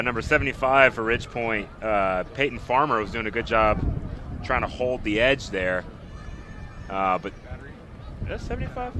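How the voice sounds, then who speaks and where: A man giving casual, conversational football analysis as color commentator, often halting as he searches for words. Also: mid-range voice. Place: outdoors at a high school football game. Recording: noisy outdoor stadium broadcast.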